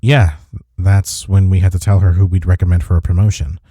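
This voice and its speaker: A man acting in an intimate audio roleplay as a gruff but caring office rival, speaking in a husky, soft, reassuring way. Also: low voice, fairly even intonation, fairly quick, no echo, clean studio sound. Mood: sincere, admiring.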